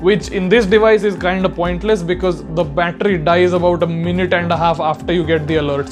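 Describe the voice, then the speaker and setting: A young man giving a casual, explanatory product review in an informal way. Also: high-pitched. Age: young adult. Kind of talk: casual spoken review to camera.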